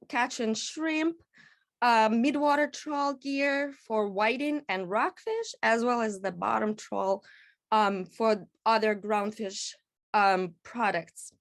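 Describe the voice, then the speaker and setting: A woman from Kazakhstan giving a measured presentation at a public panel, with frequent "um" pauses. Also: a little high. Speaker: executive director, invited speaker.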